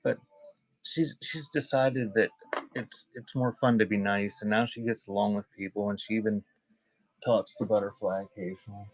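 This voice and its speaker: A man's voice: on the low side, fairly even intonation, moderate pace, no echo, rough recording. Man chatting casually while selling live. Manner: conversational, rambling between item call-outs and chat. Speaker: live auction seller and host. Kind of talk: casual livestream selling chat.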